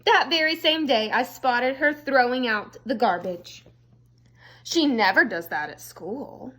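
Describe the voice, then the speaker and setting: Higher-voiced woman teacher reading to children. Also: a little slow. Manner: expressive reading, chatty explanatory asides for young children. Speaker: elementary school teacher reading aloud to students. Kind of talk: storybook read-aloud with casual asides to children.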